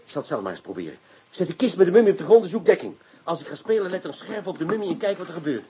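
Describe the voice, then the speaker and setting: An actor playing a brusque, impatient, sardonic police inspector who easily gets excited, in a Dutch radio drama. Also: male voice, high-pitched, racing, a crowd in the background. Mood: intent, focused. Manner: bossy, cursing, sardonic.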